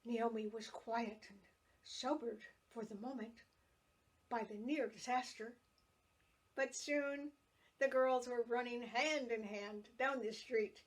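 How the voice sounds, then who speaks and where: A woman reads a personal story aloud with a soft, breathy quality and a voice a little above the usual pitch. She takes her time, pacing each phrase slowly and gently, as if reading to a listener at bedtime. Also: fairly even intonation, rough recording. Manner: slow, measured, gentle narration.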